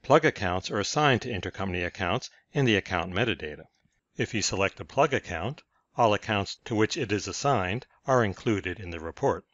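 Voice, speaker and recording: A man reading scripted software tutorial narration in a measured, instructional manner. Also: fairly low pitch, expressive, dry recording, dull audio.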